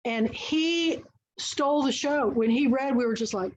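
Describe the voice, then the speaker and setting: A woman's voice: high-pitched, a little slow. Chatty woman host, dull lo-fi audio. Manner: chatty, enthusiastic storyteller. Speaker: book club host. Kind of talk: casual book and film chat. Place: online video call.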